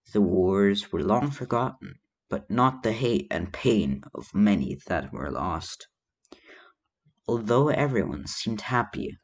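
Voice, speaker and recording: A man reading a story aloud as a narrator, a little halting in his delivery. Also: on the low side. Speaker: fan fiction reader.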